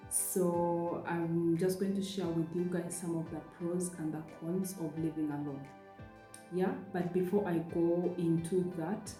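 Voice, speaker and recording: A woman's voice: on the low side, dark tone. A young woman from Uganda chatting casually in a friendly, rambling way as a vlogger. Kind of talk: casual vlog talk. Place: apartment room. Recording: echoey room, faint music.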